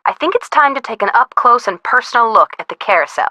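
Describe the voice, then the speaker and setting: A woman's voice: a little high, animated, fast, pushed and bright, no room sound. An actress playing a bubbly young woman, a detective's teasing best friend in a video game, with casual, playful, slangy delivery. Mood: breezy. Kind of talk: scripted video game hint dialogue.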